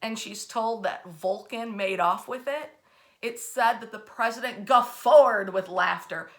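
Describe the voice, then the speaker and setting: A woman hosting as a storyteller, telling history in an animated, informal way with dramatic emphasis and wry, colloquial asides. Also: fairly high pitch, unhurried. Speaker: host and storyteller of a history series.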